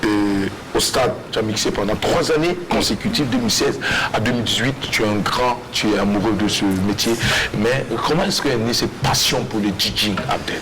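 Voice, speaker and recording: A man's voice: lowish voice, brisk, hardly any pauses. A man with a Burkinabé accent hosting a TV talk show, introducing and questioning a guest in a playful, theatrical way. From Burkina Faso. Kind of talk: animated guest introduction and interview. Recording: TV studio with audience noise.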